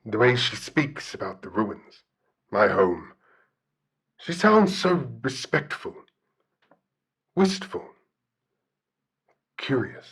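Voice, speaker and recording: An actor playing a solemn, shy, bear-like guardian spirit in an audio drama, speaking formally and hesitantly. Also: male voice, medium pitch, sing-song, slow, vocal fry, long pauses, fairly bright tone, husky, full character voice. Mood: wistful, earnest. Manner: formal, hesitant, articulate speech. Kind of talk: audio drama radio call-in acting.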